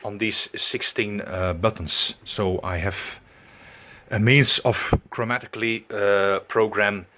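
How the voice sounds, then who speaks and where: A man with a Belgian accent giving a hands-on tutorial, talking in halting steps with many fillers as he searches for words. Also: on the low side, fairly even intonation, bright, forceful tone. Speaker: tutorial presenter. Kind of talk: instructional demonstration.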